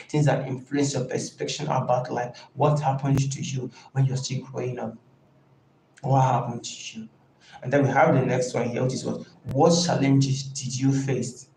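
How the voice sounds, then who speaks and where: A man gives an informal online lesson at a middling pitch. He explains in a halting, deliberate way and drives points home through repetition. The room is clearly echoey, with slight noise behind him. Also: darkish tone. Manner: emphatic, repeats key phrases. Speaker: branding coach leading an online class. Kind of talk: informal live teaching talk.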